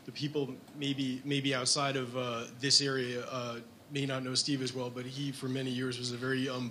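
A man's voice: mid-range voice, fairly bright tone. Male physician, 40s, podium talk; conversational, self-deprecating, hesitant fillers; faint room noise. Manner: conversational, self-deprecating, many ums and restarts. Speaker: physician lecturer. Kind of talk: conference lecture. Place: hospital conference room. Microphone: podium microphone.

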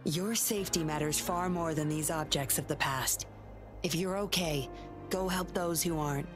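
An actress playing a cool, teasing young female patroller and puppeteer in a video game, composed and sly in a measured delivery. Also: deep voice, natural voice. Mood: cool, dismissive. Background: game music.

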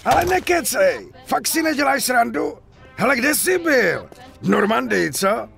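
An actor playing a chatty, hearty middle-aged gun dealer and war veteran in a video game dub, talkative and foul-mouthed. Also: male voice, very high voice, very animated, creaky, fairly bright tone, faint music behind, heavily acted. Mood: curious, impressed. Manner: talkative, foul-mouthed, showy sales patter. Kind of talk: dubbed video game cutscene acting.

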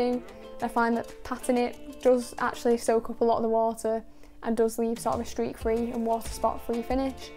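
A young woman giving a calm, measured voice-over about washing a car, with many casual fillers. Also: a little high. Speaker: car detailing channel host. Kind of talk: voice-over narration of a car wash.

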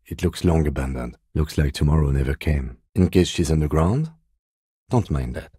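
A man acting a reserved, dutiful police detective in a video game, speaking in a measured, formal, deadpan way with dry courtesy. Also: a bass voice, husky, no room sound, heavily acted. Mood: dry, composed. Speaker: voice actor.

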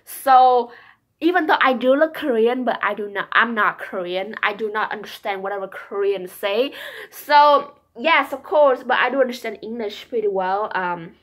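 A young adult woman with a Vietnamese accent talks in a fairly high voice. She is chatty and rambling, leaning on fillers as she speaks casually to a camera at home. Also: animated, moderate pace, a bit bright. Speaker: vlogger. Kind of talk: casual shopping haul chat. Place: small quiet room at home.

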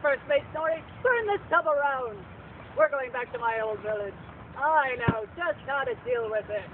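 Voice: high-pitched, expressive, normal speed. A puppeteer playing a blustering, theatrical male Viking captain in a live puppet show. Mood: commanding. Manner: theatrical, declamatory. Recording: tinny, noisy outdoor recording from afar.

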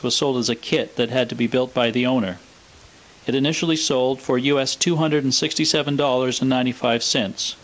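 A man with a mid-pitched voice delivers scripted, explanatory narration. He is methodical and matter-of-fact, laying out technical details in an orderly way, as the presenter of an equipment walkthrough. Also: low-quality recording. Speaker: presenter of a vintage radio equipment video.

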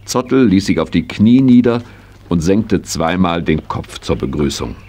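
An actor as the storyteller narrating a children's tale in measured, scripted style. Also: male voice, low voice, expressive, very noisy.

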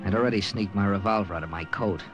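An actor playing a terse, commanding American wartime intelligence captain with an American accent in an old-time radio drama. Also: male voice, fairly low pitch, fast talker, dull audio, natural voice. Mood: tense narrating. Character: hard-boiled American OSS captain narrating his wartime mission. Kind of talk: 1940s-50s radio drama narration and acting. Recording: vintage radio drama with music.

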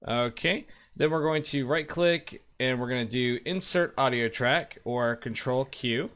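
Man, thirties, informal tutorial host with mid-pitched voice, explaining software steps casually. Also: measured pace. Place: indoor room at a desk.

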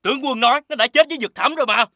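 Shrill, jittery cartoon henchman. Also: racing, bright, forceful tone, no room sound, studio quality, exaggerated voice. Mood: awestruck, alarmed. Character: jittery, awestruck male henchman soldier. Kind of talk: animated fairy-tale acting.

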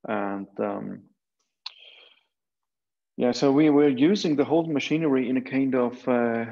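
A middle-aged man giving an academic keynote over a video call, measured and full of fillers and restarts. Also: mid-range voice, gravelly, a little mellow. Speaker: keynote speaker. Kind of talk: academic conference talk. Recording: dull lo-fi video call audio.

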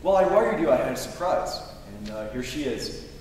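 A man's voice: medium pitch, sing-song, normal speed, darkish tone, close to an everyday voice. A man acting a breezy, affable younger brother in a staged old-time radio play. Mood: apologetic. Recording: echoey hall from far off, noisy.

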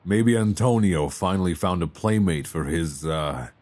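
A man playing a worried, tender mobster boyfriend in an intimate ASMR roleplay, halting and hesitant with sighs. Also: low voice, darkish tone. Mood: flustered, exasperated.

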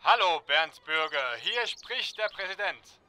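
A man's voice: sits high, expressive, measured pace, bright, forceful tone, no room sound, full character voice. A man playing a solemn, urgent president giving dramatic orders in a comic audio drama. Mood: grave.

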